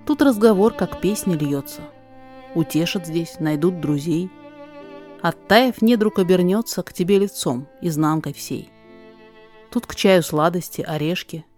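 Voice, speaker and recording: Low-voiced woman reciting verse over music. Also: measured pace. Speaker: narrator and reader of a verse podfic. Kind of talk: rhymed verse storytelling. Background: soft instrumental music.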